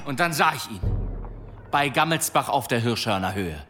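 A man's voice: mid-range voice, lively intonation, normal speed, very noisy, natural voice. A man playing a boastful demon hunter in a radio play, telling tall tales in a florid, pompous manner. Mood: ominous, theatrical. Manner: theatrical, florid, pompous storytelling. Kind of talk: German radio play acting.

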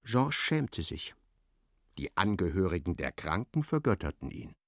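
A man narrating a literary radio play in a measured, dryly ironic way. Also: low-pitched, sing-song, creaky, no echo, clean studio sound, heavily acted.

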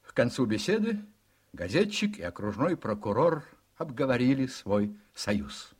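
A man's voice: mid-range voice, very animated, a little slow, breathy edge. A man narrating a radio play in a scripted, dramatic storytelling style.

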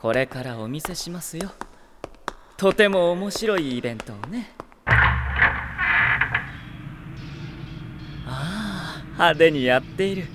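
An actor playing a scheming, cruel young sorcerer with mockingly polite, unhurried speech in a Japanese radio drama. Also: male voice, fairly high pitch, expressive, heavy background noise. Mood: smug, taunting. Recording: drama production with music behind.

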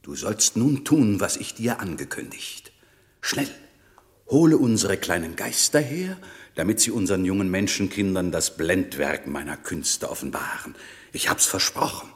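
An actor playing a stern, stately sorcerer lord in a classical radio play, declaiming verse with music behind him. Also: male voice, low voice, sing-song, moderate pace. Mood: commanding.

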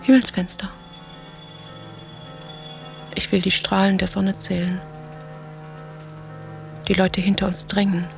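A woman playing a lonely, dreamy lover in a literary radio play, speaking her inner thoughts in hushed, breathy fragments. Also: medium pitch, level tone, slow, pauses often, soft background music. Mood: pensive remembering. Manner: hushed, introspective, short fragmentary phrases.

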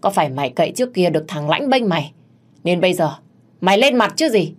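A woman's voice: lowish voice, fast talker, a little mellow, close to an everyday voice. A woman voicing a domineering older mistress of the house in an audio story, scolding and barking orders. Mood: angry, accusing.

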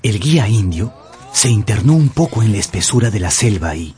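A man narrating a radio drama in Spanish with deliberate, suspenseful storytelling. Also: fairly low pitch, sing-song, warm tone. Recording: music behind the voice.

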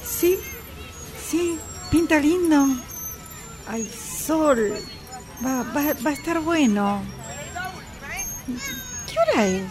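A woman acts an easygoing Argentine woman in amateur radio theatre. Her voice is high and slow, casual in tone, with faint music behind it. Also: expressive, darkish tone, a faint crowd, exaggerated voice. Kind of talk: amateur radio drama acting, casual chat.

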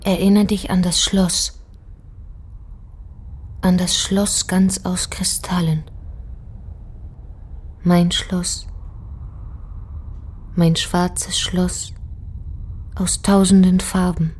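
An actress playing a mysterious beckoning dream figure in a radio play, with a breathy, hushed, incantatory delivery. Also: female voice, fairly low pitch, little pitch movement, extremely slow, long pauses, full character voice. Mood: beckoning, hypnotic. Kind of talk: poetic radio play monologue. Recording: studio radio drama with music underneath. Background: music.

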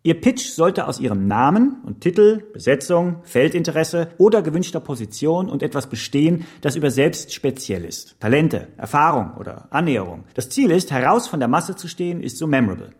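A man playing a self-marketing coach who recites stilted, instructive pitch advice in a radio drama. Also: very animated, a little fast, few pauses, a little mellow, husky.

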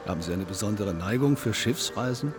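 An actor playing a laconic undertaker in a German radio drama, speaking in a dry, detached, deliberate manner with morbid musing. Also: male voice, lowish voice, animated, background noise, lightly acted. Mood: polite, distracted.

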